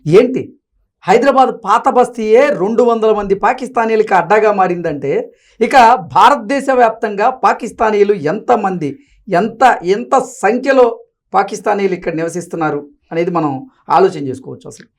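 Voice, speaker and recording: A woman's voice: medium pitch, lively intonation, normal speed. A woman hosting a news discussion and commenting on reports. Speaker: news talk show host.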